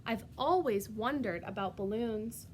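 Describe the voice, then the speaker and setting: A woman's voice: on the high side, unhurried, a little hiss or hum, lightly acted. A woman voicing a prickly, easily worried porcupine in a children's storybook, childlike and earnest. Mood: wondering.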